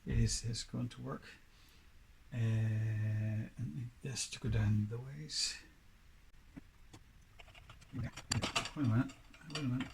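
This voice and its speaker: A man talks slowly through a hands-on repair job in a mid-pitched voice. He is casual and halting, often muttering to himself between steps. Clear background noise and clicks sit behind him. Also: steady tone. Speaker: DIY repair presenter. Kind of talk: casual hands-on workshop narration. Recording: clearly noisy, with clicks and tool handling.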